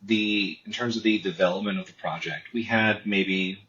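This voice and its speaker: A man speaks in a lowish voice, telling the story behind his comic in a casual interview. He stalls on repeated words before he gets going. The sound is muffled and lo-fi, like a remote call. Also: normal speed. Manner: repetitive restarts, animated storytelling. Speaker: comic artist guest.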